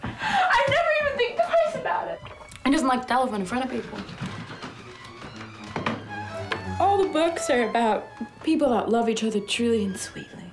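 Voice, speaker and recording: An actress playing an anxious young woman waiting for a call, pleading and swinging between hushed whispers and outbursts, over background music. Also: high voice, big pitch swings, fairly quick. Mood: near hysteria. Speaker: actress performing a monologue. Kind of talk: dramatic monologue.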